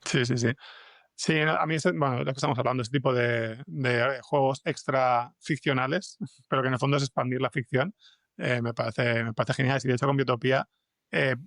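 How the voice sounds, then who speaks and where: A man's voice: medium pitch, fairly even intonation, brisk, gravelly, fairly bright tone, no room sound, studio quality. A man with an accent from Spain, chatting informally as an interview guest and thinking aloud as he explains things. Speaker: guest podcast scriptwriter. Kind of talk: casual conversational interview.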